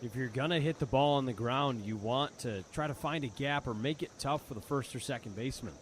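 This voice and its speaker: A man's voice: mid-range voice, fairly quick. A man giving conversational, explanatory color commentary during a live baseball broadcast. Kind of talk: live analytical sports commentary. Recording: clear ambient ballpark noise.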